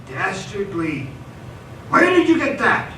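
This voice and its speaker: A man's voice: sits high, huge pitch swings, slow, pushed and bright, full character voice. A man playing a seasoned, exasperated supervillain bomber in a comic radio play, with a sarcastic, indignant, theatrical delivery. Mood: weary sarcasm. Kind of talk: stage radio theatre comedy acting. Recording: echoey hall, distant mic, faint noise.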